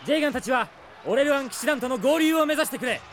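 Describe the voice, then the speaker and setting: A man's voice: very high voice, expressive, very fast, a bit bright, a little hiss or hum. An actor playing a brave, earnest young prince who commands his army resolutely in a fantasy audio drama. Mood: rallying, commanding. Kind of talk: Japanese fantasy drama CD acting.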